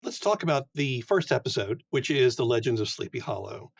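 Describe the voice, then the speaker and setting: A man's voice: mid-range voice, sing-song, fairly quick, gravelly. A man interviewing conversationally as a podcast host, on a slightly lo-fi recording. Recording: slightly dull, lo-fi sound.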